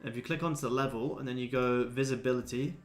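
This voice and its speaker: A man in his twenties hosts a livestream over background music. His voice sits at a middling pitch. He talks in a laid-back, blokey way, swearing freely as he replies to his viewers. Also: fairly even intonation, quick pace. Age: 20s. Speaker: livestream host, day trader. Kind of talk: casual livestream chat answering viewers.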